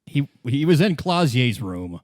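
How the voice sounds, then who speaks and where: Man playing a halting, defensive gang boss. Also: medium pitch, sing-song, darkish tone, dry recording, clean studio sound. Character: gruff, evasive male dock-gang leader, protective of his crew.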